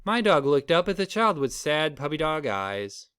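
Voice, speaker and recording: A man's voice: fairly high pitch, very animated, moderate pace, fairly bright tone, smooth, no room sound, studio quality. A man reading a children's storybook aloud as the storyteller.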